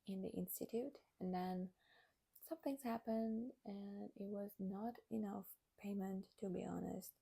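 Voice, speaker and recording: Woman, 20s, Armenian native from Iran; mellow, gravelly, hushed vlog talk. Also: mid-range voice. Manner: soft, hesitant, many fillers and restarts, often near a whisper. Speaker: vlogger. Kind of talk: candid personal vlog.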